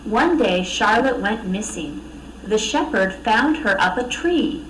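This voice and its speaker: A woman reading a children's storybook aloud with expressive narration. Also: medium pitch, slow pace, far from the mic. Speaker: storybook reader. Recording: echoey room, off mic, faint noise.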